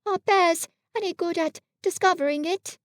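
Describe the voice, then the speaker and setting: A woman's voice: extremely high pitch, moderate pace, no room sound, clean studio sound, pitched above the performer's own voice. A woman voicing a cheerful, simple little bear in a children's story, with a naive, bumbling, eager manner. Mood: eager, innocent. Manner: naive, bumbling, muddles long words. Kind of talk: children's storybook character reading.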